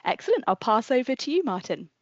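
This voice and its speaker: A woman's voice: on the high side, sing-song, fast, no room sound. A woman hosting an online seminar, making announcements and introducing the speaker in a casual, um-filled way. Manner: um-filled, informal, friendly announcements. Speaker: seminar host. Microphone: computer mic on a video call. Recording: dry, muffled video-call audio.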